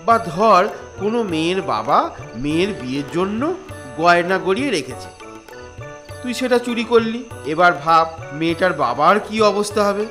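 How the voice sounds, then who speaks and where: A man's voice: sits high, big pitch swings, moderate pace, over music. A man voicing a preachy, earnest older schoolmaster in a comic cartoon, lecturing emphatically.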